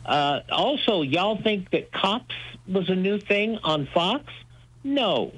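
A man's voice: high voice, lively intonation, slow, fairly bright tone, slightly rough, poor audio. A man talking enthusiastically as a radio host being interviewed, with an emphatic, folksy storytelling manner. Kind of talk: enthusiastic interview talk about old-time radio.

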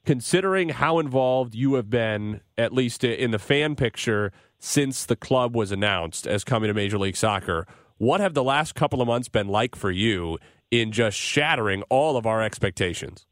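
A male radio host presents a live sports talk show. His voice sits at a medium pitch, and the sound is dry and close, with very little room around it. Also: lively intonation, normal speed, no echo.

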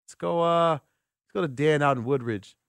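A man's voice: on the high side, moderate pace, vocal fry, clear, resonant tone, dry recording. A man hosting a sports call-in radio show, thinking aloud in a conversational way and asking rhetorical questions. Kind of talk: sports call-in talk, opinionated commentary. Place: radio studio. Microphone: close studio microphone.

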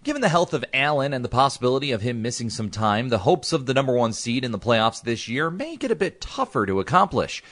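A man's voice: medium pitch, very animated, fast, hardly any pauses, a bit bright. A man with an American accent reads a scripted radio report. His mid-range voice moves up and down a lot, with a reporter's delivery.

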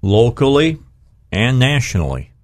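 A man's voice: medium pitch, sing-song, unhurried, no echo. A man hosting a radio talk show in a conversational style.

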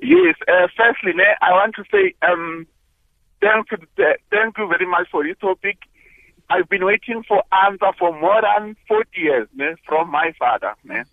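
Hesitant male caller, high animated voice, dry phone-line sound. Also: moderate pace, dry recording. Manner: hesitant, searching for words. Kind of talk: call-in radio talk.

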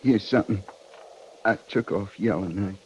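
Dying cavalry trooper played by a male actor: American, stoic, dark muted voice, fading slowly, old dull radio sound. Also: lowish voice, natural voice. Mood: weak, resigned. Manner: halting, plain folksy speech. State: weak, fading. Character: dying wounded cavalry private, weakly stoic and resigned. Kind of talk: 1950s radio western acting. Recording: dull noisy 1950s radio recording.

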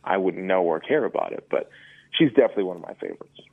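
A man chats casually with playful banter. His voice sits low, and the sound is thin and phone-like. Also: animated, fast. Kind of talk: casual chat.

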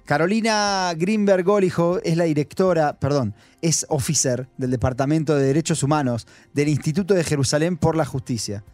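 Man hosting, higher-pitched. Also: moderate pace, hardly any pauses, a little mellow, no echo. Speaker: radio host.

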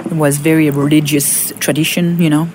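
A woman in her fifties from Monaco with a French accent, telling her personal story in an interview, halting with fillers and restarts. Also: low voice, level tone, darkish tone, a little hiss or hum. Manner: halting, many fillers and restarts, laughs often. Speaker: guest.

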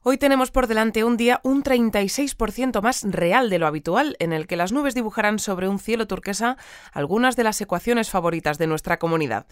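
An actress playing a composed female news presenter delivering a scripted bulletin in a measured way. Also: mid-range voice, clear, resonant tone, no echo, natural voice. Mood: composed, announcing. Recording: music bed behind the voice.

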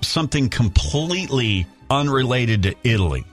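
A man's voice: mid-range voice, normal speed, soft background music. A man hosting a radio show, teasing a caller with laughing asides. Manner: teasing, lively, laughing interjections. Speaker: radio host. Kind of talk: morning radio banter.

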